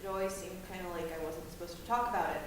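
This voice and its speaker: A young woman playing a guarded, anxious grown daughter who talks in halting, hesitant bursts with her mother. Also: fairly low pitch, close to an everyday voice. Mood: quiet. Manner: hesitant, halting, then heated. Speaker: college student. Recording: echoey room, distant mic, noisy ambience.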